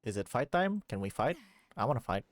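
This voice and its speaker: A man's voice: mid-range voice, very animated, fairly quick, no echo, clean studio sound. A man reacting casually and live while streaming a video game.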